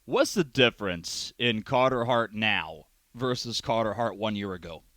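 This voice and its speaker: A man working as a radio sports interviewer, putting questions in a measured, polished, wordy way. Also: on the low side, lively intonation, pushed and bright, dry recording.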